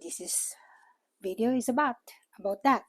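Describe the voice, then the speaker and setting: An older woman from the Philippines with a Filipino accent, a native Tagalog speaker, talking informally and a little haltingly as she explains things in a vlog. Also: a little high, husky, dry recording. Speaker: vlogger. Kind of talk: informal talk to camera.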